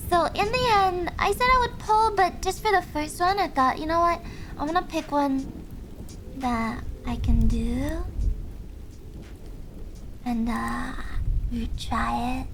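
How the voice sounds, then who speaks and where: Soft high-voiced young woman chatting slowly with her stream, unsure, lots of ums, faint music behind. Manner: soft-spoken, hesitant, many fillers. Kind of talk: casual chat with viewers. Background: faint background music.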